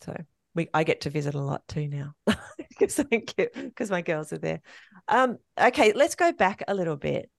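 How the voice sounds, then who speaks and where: A woman's voice: lowish voice, sing-song, moderate pace, creaky, no room sound. An Australian woman in middle age hosting a podcast, chatty and upbeat, laughing often in casual interview talk. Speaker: podcast host.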